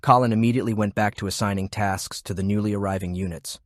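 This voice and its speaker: A man narrating a fiction audiobook in a measured, serious storytelling style. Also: fairly low pitch, fairly even intonation.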